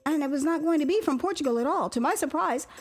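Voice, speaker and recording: An older American woman narrates her own story in an expressive, theatrical first-person style with amused asides. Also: high-pitched, fast talker, soft background music. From Houston, Texas, United States. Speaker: author reading her own memoir.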